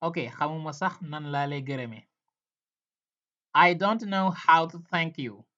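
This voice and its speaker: A man hosting a casual language lesson, chatting loosely with fillers and then repeating example phrases slowly and loudly. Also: fairly high pitch. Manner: slow, drawn-out, louder repetitions of example phrases. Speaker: language teacher and channel host. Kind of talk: casual language lesson, mixing English, Wolof and French. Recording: dull, lo-fi sound.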